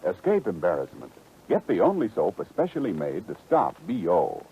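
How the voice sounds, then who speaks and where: Deep, husky, dramatic male radio announcer. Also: wildly swooping pitch, normal speed. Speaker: commercial announcer. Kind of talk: 1940s radio ad read. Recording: muffled 1940s radio recording.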